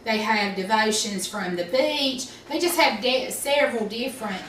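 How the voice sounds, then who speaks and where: A woman's voice: on the high side, lively intonation, normal speed, a bit bright. A woman giving a casual devotional talk from a home kitchen. She chats in a rambling, easygoing way. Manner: chatty, rambling with fillers. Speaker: devotion speaker. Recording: roomy, distant mic, faint hiss.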